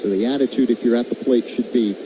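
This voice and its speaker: A man's voice: medium pitch, quick pace. A man doing live play-by-play baseball commentary for radio. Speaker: play-by-play announcer. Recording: noisy stadium ambience. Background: steady ballpark crowd noise.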